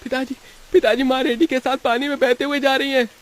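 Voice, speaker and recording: A man's voice: very high-pitched, level tone, brisk, pitched above the performer's own voice. A man voicing an urgent young son from a poor village family in a cartoon story. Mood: panicked.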